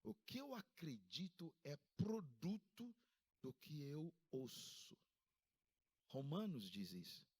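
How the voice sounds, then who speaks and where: A man in his fifties from Ghana, preaching a sermon in a church service with a Ghanaian accent, with emphatic rises in pitch. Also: very animated, takes it slowly, a little mellow, husky. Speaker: pastor.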